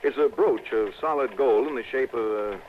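A man's voice: mid-range voice, moderate pace, close to an everyday voice. An actor playing a chatty, harried insurance company man in a 1960s radio drama, speaking in an emphatic, folksy way. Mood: explaining. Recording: dull, slightly noisy old radio broadcast.

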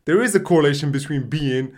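A man's voice: fairly high pitch, quick pace. Give me a man in casual football podcast banter, chatty and full of restarts. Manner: chatty, many fillers and restarts. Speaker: podcast co-host.

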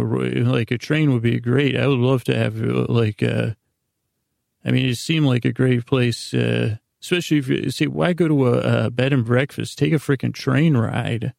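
Male sleep-podcast host, very heavy vocal fry, mid-range pitch, halting and meandering, recorded close and dry. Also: darkish tone, no room sound. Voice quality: gravelly, creaky. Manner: meandering, halting, tangent-prone. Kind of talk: rambling bedtime monologue.

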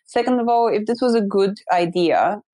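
A woman's voice: mid-range voice, moderate pace, mellow, no echo. A woman from Bulgaria speaking as an interview guest, telling her personal story in an articulate, reflective way. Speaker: interview guest, company founder.

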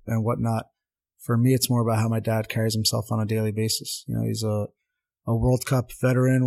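Gravelly-voiced man, earnest and unhurried. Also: on the low side, darkish tone. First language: Armenian. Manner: earnest, many fillers and restarts. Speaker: interview guest, soccer coach. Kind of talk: casual interview talk.

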